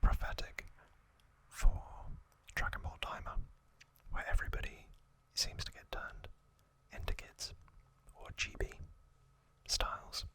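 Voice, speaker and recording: Slow whispering man, ASMR style. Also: low-quality recording. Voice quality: soft, breathy unvoiced whisper. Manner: slow, halting, informal asides. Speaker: ASMR host. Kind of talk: whispered ASMR trivia recap.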